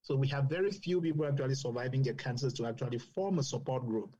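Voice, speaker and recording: A man from Cameroon, a doctor speaking as a guest in a panel discussion, passionate and measured, often repeating phrases for emphasis. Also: medium pitch, mellow, lo-fi sound.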